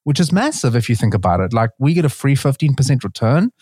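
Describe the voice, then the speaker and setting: A man's voice: mid-range voice, fast, husky, dry recording, studio quality. A man co-hosting a casual podcast, talking loosely with lots of fillers. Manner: relaxed, filler-heavy, says "like" often. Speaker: podcast co-host.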